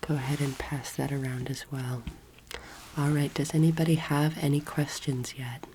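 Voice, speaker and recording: A young woman speaking softly and breathily, often near a whisper, in a slow, gentle ASMR roleplay lecture as a teacher. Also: very deep voice, flat delivery, noisy background. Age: young adult. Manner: slow, gentle, deliberate instruction.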